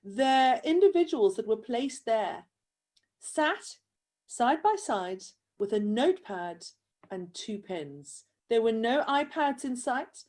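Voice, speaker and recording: A woman's voice: on the high side, darkish tone. A woman with a South African accent hosting an interview, speaking earnestly and reflectively in long, building runs of thought. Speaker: host. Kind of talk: conversational interview.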